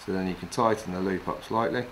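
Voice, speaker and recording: A middle-aged man from England calmly talking through each step of tying a fishing fly while he works with his hands. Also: sits low, quick pace, a little hiss or hum. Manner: calm, practical, talks while working with his hands. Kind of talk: step-by-step demonstration. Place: wooden lodge room, indoors.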